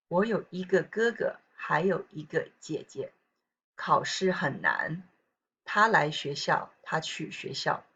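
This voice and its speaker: A woman Chinese teacher with a southern Taiwanese accent, reading practice sentences slowly with casual asides to her students. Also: on the low side, bright, forceful tone, husky. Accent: southern Taiwanese Mandarin. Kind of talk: recorded pronunciation lesson. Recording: dull lo-fi recording.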